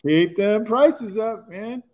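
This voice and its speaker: A man's voice: sits high, takes it slowly, darkish tone. A middle-aged man hosting a live webinar from an auto repair shop, chatting loosely and calling people dude. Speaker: webinar host. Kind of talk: casual live-stream chat.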